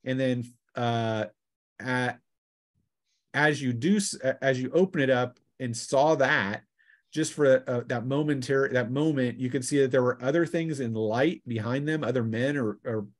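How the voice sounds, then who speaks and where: Male game master, mid-pitched, explaining rules and describing scenes with a halting, improvised delivery. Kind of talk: improvised tabletop game narration.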